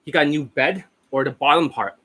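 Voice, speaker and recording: A man coaching about dating who talks in a brash, profane, rambling way, hoarse and losing his voice, with coughs between lines. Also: a little high, fairly quick, sharp tone. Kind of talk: casual monologue over a screen share. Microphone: desk microphone.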